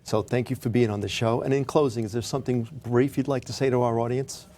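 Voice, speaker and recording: A man hosting a TV interview show, encouraging and quick with short affirmations. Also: mid-range voice, steady tone, dark tone, slight background noise. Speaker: talk show host. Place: television studio.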